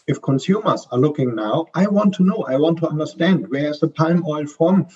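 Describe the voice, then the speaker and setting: A man's voice: fairly high pitch, normal speed, mellow, breathy edge, lo-fi sound. A man from Germany with a strong German accent, answering interview questions as a consultant, hesitating often with ums and restarts. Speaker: supply chain consultant guest.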